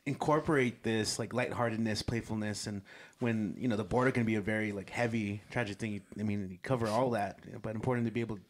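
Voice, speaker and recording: A man co-hosting a podcast interview, laid-back and chatty as he asks his questions. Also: lowish voice. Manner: laid-back, gives quick affirmations. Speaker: podcast co-host. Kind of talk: casual interview conversation.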